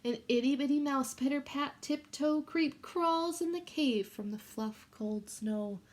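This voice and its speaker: A woman reading a picture book aloud to young children in an expressive way, pausing to ask them questions. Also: high-pitched. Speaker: children's storybook read-aloud host.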